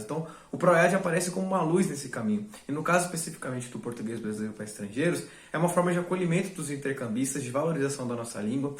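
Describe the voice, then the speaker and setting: Fast-talking man in an echoey room. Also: medium pitch. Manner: reflective, repeats for emphasis. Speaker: student teaching assistant. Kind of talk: testimonial.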